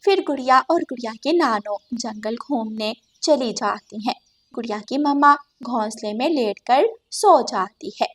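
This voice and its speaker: A woman's voice: fairly high pitch, unhurried. A woman narrating a scripted cartoon story as the storyteller.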